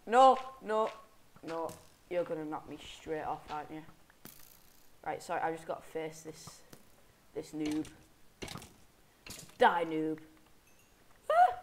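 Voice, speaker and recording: A man's voice: fairly high pitch, wildly swooping pitch, slow, pauses often, slight background noise. A young man with a sniffly head cold, casually commenting on a game he is playing, rambling and correcting himself as he goes. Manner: rambling, self-correcting, mock-angry at enemies. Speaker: gameplay commentator.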